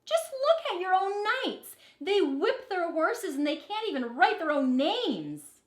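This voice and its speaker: A proud, defiant young princess knight from a storybook, acted out by a woman reading aloud. She speaks emphatically and indignantly, with highly animated pitch. The sound is echoey and roomy. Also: unhurried, heavily acted. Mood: outraged. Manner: emphatic, exclamatory. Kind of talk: picture book read-aloud character voice.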